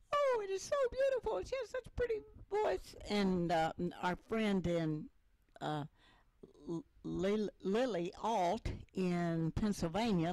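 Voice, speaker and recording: A woman's voice: fairly low pitch, mellow, dry recording, poor audio. Older female talk show host, rambling and chatty, dry close sound. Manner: rambling, chatty, many fillers.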